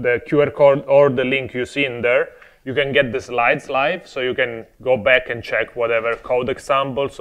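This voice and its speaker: Man, Italian accent, young adult, conference speaker, friendly, explanatory, lightly humorous, mid-pitched voice. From Italy. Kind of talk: technical conference talk. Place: conference stage before an audience.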